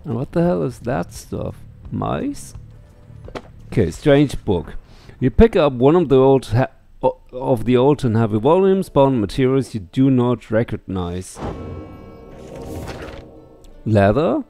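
A middle-aged man streams a game with slow, hesitant commentary. He reads on-screen text aloud in a bright-edged voice, with faint music behind him. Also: medium pitch, sing-song, creaky. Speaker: let's play streamer. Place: indoor room.

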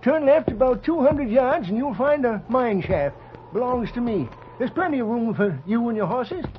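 Casting: crusty old American prospector, very high voice, folksy drawl, muffled vintage radio sound. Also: male voice, expressive, moderate pace, a little hiss or hum. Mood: friendly, folksy. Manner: folksy frontier drawl, exclamatory. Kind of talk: old-time radio western acting.

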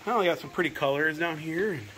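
A middle-aged man with an American accent narrates a travel walkthrough in a fairly high voice. He talks casually, like a tour guide adding short musings as he goes, recorded on a handheld phone outdoors. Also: quick pace, noisy background. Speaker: travel vlogger. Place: outdoors at a mountain garden and in narrow rock crevices. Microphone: phone held at arm's length or in hand.

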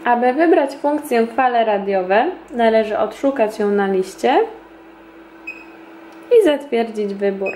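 A woman in her thirties giving formal, measured scripted instructions as a trainer in a small indoor room. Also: on the high side, mellow, slight background noise. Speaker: instructor presenting a beauty device. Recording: roomy echo, distant mic.

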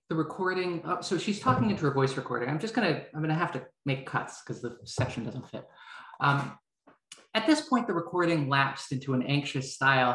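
Female novelist, thirties, low-pitched, informal reading delivery, roomy call audio. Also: a bit bright. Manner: hesitant, self-interrupting. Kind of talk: informal introduction and prose reading. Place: room at home. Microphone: video call, headset worn.

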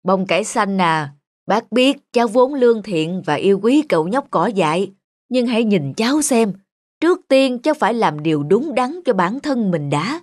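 A woman's voice: mid-range voice, lively intonation, moderate pace, a little mellow, no echo, clean studio sound, natural voice. A woman voicing an older garden plant, a stern elder who lectures and admonishes in a children's cartoon. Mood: stern counsel. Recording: music behind the voice.

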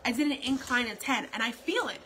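A woman in her forties rambles and repeats herself as she promotes a wellness product during a live phone stream in her home kitchen. Her voice is bright and forceful and sits a little high. A faint hiss runs underneath. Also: lively intonation, a little fast. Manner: chatty, rambling, repetitive, salesy. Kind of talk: casual live video promo. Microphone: phone camera at arm's length.

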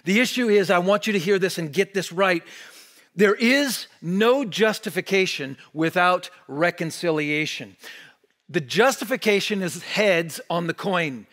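A man in his forties preaching a sermon from a pulpit, animated and emphatic, dropping to near-whispers on key words. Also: sits high, a little slow. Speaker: pastor. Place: church stage behind a wooden pulpit.

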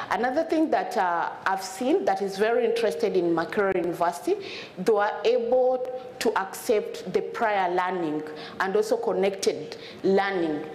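A woman from South Sudan, in her thirties, speaks earnestly on a conference stage, restarting her phrases as she goes. Her voice is of middling pitch. It rings in a roomy hall with a faint hum of ambience. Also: darkish tone. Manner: earnest, repeats words as she searches for them. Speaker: panelist, refugee student advocate. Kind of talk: panel discussion talk. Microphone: lapel mic.

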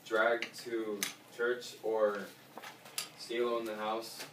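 Teen boy student, halting delivery, lowish voice, roomy sound. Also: completely flat delivery. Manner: hesitant, restarts often. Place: classroom. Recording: echoey classroom, distant mic, noisy.

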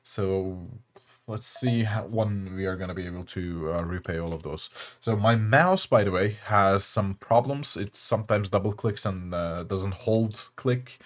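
A young Turkish man with a Turkish accent, speaking English as a second language, hosting casual gameplay commentary with many pauses and fillers. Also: low voice. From Turkey. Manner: halting, many fillers and restarts. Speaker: channel host.

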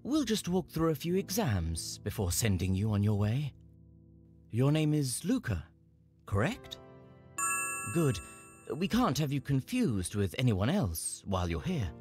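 A man playing a brisk, formal customs official in a fantasy game dub. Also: mid-range voice, wildly swooping pitch, darkish tone, faint music behind. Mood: brisk, polite. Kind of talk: video game character dub.

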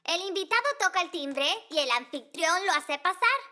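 Sensible little girl creature, high-pitched, scolding, children's cartoon, background music. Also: animated, moderate pace, bright, forceful tone, full character voice. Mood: scolding, firm. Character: bossy, sensible young girl Fixie. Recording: music playing behind the voice.

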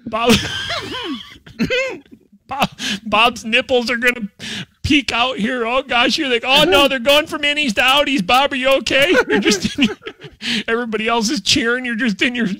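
An American man hosting a comedy show, casually teasing in an animated way and prone to breaking into laughter. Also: very high-pitched, moderate pace, pauses often, no echo.

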